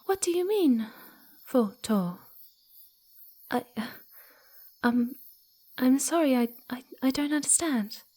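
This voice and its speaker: A woman's voice: sits high, lively intonation, takes it slowly, long pauses, dark tone, full character voice. A woman voicing a playful, mischievous young female fox spirit in an ASMR roleplay, with a cutesy, giggly, teasing manner. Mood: curious, puzzled.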